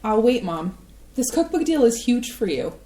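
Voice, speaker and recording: An actress voicing a supportive grown daughter, encouraging and kind. Her voice is somewhat high. It echoes through the theatre. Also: big pitch swings, moderate pace. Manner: warm and encouraging. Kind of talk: staged play reading.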